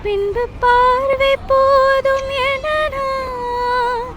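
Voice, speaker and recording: Female amateur singer. Very high, drawn-out singing over prominent music. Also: flat delivery.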